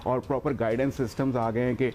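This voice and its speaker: Mellow-voiced man explaining air traffic control. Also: medium pitch, steady tone. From Pakistan. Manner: measured, many hesitation sounds. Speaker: guest air traffic expert. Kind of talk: explanatory talk-show answers.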